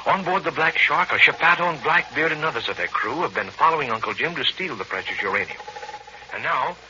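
A man's voice: medium pitch, lightly acted. An American man announcing on 1940s radio with a projected, hearty, emphatic scripted delivery. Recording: muffled noisy 1940s radio broadcast.

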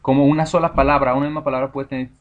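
A man's voice: mid-range voice, little pitch movement, racing, phone-quality sound. A Mexican man being interviewed, speaking Spanish with a Mexican accent in a thoughtful, hesitant way, often restarting his words. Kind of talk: casual conversation.

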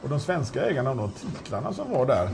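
A man's voice: medium pitch, very animated, gravelly, a little mellow, a little hiss or hum. A man casually chatting as an auction presenter, rambling with many restarts and fillers. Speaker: auction specialist presenting a car. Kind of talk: casual chat.

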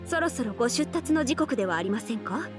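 A woman acts out a young female oni warrior for a mobile game. Her voice sits high, and every phrase is courteous and humble. She sounds devoted to her lord while keeping her secrets, with music playing softly underneath. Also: expressive, fast talker, natural voice. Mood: apologetic, earnest. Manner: formal, humble, courteous speech. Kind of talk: Japanese mobile game character voice acting. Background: game background music.

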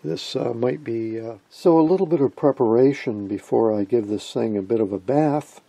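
Man, gravelly husky voice, casual technical explainer, halting delivery, repair video host. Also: mid-range voice, mellow, dry recording.

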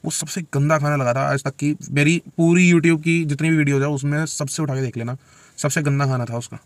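A man's voice: on the high side, a little fast, no room sound. A man in his twenties answering questions casually, joking and speaking bluntly, recorded in a parked car on a dashboard camera. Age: 20s. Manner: joking, blunt, relaxed storyteller. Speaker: vlogger. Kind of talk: casual Q&A chat. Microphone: camera phone on the dashboard.

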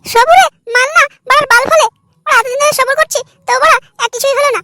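A man voicing a shrill young wife in a comedy cartoon, scornful, nagging and sarcastic. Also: fast, sharp tone, no echo, full character voice. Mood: mocking. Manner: mocking, complaining.

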